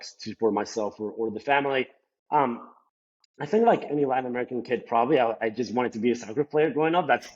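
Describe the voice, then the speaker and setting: A young man from Colombia, a native Spanish speaker with a Colombian accent, answering as a podcast guest in a loose, rambling way full of "like" and "you know.". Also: mid-range voice. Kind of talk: casual interview talk. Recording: slightly dull lo-fi sound.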